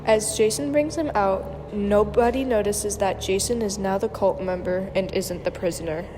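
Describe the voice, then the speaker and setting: A woman's voice: mid-range voice, normal speed, warm tone, soft background music. Young woman narrating in a reverberant hall. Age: young adult. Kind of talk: scripted story narration. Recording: noisy hall with long reverb.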